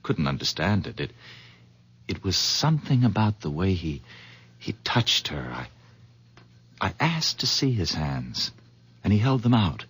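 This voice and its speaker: An actor playing an eager horse owner who stammers and then gushes, in a 1970s radio drama. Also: male voice, low-pitched, unhurried, long pauses, husky, exaggerated voice. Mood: earnest storytelling. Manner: hesitant, stammering, then excitedly effusive. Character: eager, fussy middle-aged horse owner. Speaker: visitor.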